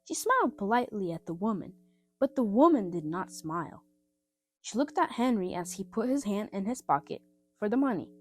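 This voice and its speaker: A woman reading a scripted children's story aloud in an expressive, simple style, with music behind her. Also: medium pitch, a little fast. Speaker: storybook reader.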